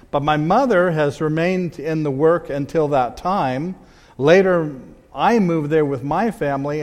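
A man's voice: a little high, a little mellow. A middle-aged man with a slightly raised voice speaks conversationally, stopping and restarting his phrases. He presents slides as a missionary to a church audience. His voice carries a long reverb from a large hall.

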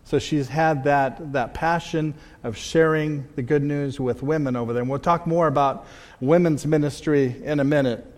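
A middle-aged man giving a conversational missionary slide talk to a church audience, pausing and restarting as he goes. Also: a little high, fairly even intonation, a little mellow. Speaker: missionary speaker. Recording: long hall reverb.

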